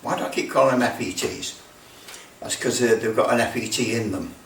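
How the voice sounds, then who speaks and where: A man in his sixties giving a casual hands-on explanation, rambling and haltingly thinking aloud as he goes. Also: mid-range voice. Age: 60s. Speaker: radio repair video maker. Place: small indoor workshop with block walls. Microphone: camera mic at a distance. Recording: roomy, distant mic, background noise.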